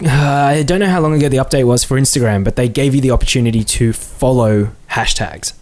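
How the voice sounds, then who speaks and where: Male podcast co-host, mid-pitched, laid-back but keen, casual and sweary delivery. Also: fairly quick, darkish tone. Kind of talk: casual chat.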